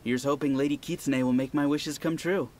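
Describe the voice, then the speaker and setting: A man's voice: mid-range voice, fairly even intonation, fairly quick, darkish tone, close to an everyday voice. A man playing a keen, chatty young reader in a video game, speaking eagerly.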